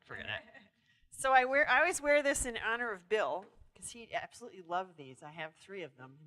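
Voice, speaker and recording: Female co-host, bright and animated, quick with jokes. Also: medium pitch. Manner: chatty, joking, laughing asides. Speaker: co-presenter. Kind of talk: casual chat to a live audience. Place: bookstore event room.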